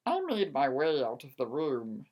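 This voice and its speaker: A woman's voice: rumbling low, huge pitch swings, a little slow, a little mellow. A woman narrating a first-person story as a theatrical dramatic reading.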